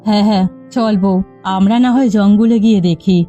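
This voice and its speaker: A woman's voice: mid-range voice, moderate pace, dark tone, lo-fi sound, lightly acted. A woman voicing a bossy, determined village housewife in a cartoon, with music underneath. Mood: doubtful. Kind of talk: Bengali cartoon voice acting.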